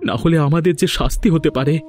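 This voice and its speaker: A man's voice: fairly high pitch, quick pace, a little mellow, soft background music, close to an everyday voice. A man voicing an aggrieved, reproachful village farmer and husband in a dramatic cartoon style. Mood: regretful. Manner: dramatic, lamenting. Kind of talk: Bengali cartoon voice acting.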